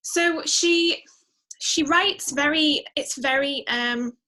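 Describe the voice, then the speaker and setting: Bright, higher-voiced woman chatting as guest. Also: takes it slowly, poor audio. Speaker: podcast guest, musician. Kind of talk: casual conversational interview.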